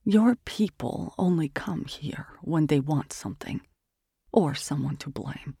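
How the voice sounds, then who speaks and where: A storyteller voicing a mocking young female fortune-teller in an audiobook, dropping to a raspy whisper. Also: mid-range voice, takes it slowly, warm tone, no echo, studio quality, exaggerated voice. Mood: taunting. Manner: scornful, taunting, drops to a raspy whisper.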